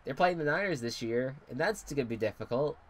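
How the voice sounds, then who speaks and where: A man's voice: medium pitch, animated, a little fast. A congested young man rambling and swearing casually while commentating a football video game. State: congested, stuffy nose. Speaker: gaming commentator.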